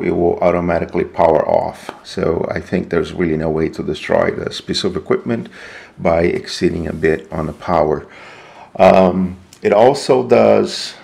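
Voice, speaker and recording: A man giving an unscripted, hands-on equipment walkthrough in a halting, explanatory way. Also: deep voice, vocal fry, a little mellow. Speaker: product demonstrator.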